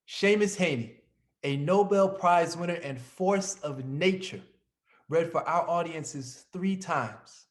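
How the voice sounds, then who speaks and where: A man presenting a scripted introduction and narration in the manner of a programme host. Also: fairly high pitch.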